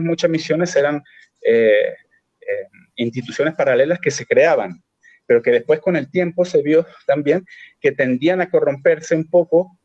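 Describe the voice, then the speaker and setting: A man's voice: on the high side, a little mellow, no room sound, muffled recording. A Chilean man speaking as a guest in a political discussion, thinking aloud with many hesitations and restarts over a video call. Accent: Chilean Spanish. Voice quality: halting, many fillers and restarts.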